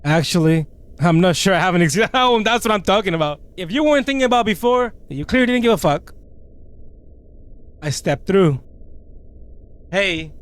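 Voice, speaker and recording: A man commentating on a playthrough as he goes, reading game text aloud and reacting in a slangy, incredulous, expressive way. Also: high voice, moderate pace, long pauses, clean tone, no echo. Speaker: gaming video creator.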